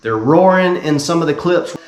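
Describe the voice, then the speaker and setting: A man's voice: on the high side, expressive, moderate pace, reverberant, a little hiss or hum. A man in his thirties hosting a garage build channel, talking casually to viewers in a voiceover while sounding stuffy and congested from a cold. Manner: easygoing, talks straight to "you guys". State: stuffy, congested from being sick.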